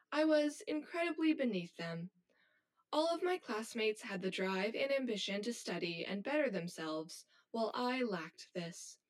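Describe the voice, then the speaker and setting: A woman playing a cold, blunt high-achieving schoolgirl who talks in a stiff, formal, robotic way in an audio drama. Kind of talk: scripted audio drama acting.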